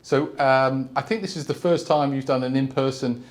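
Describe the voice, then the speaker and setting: A man's voice: mid-range voice, steady tone, moderate pace. Chatty male business interview host. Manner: chatty, rambling questions with restarts, frequent mm-hmms. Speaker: interview host, company CEO.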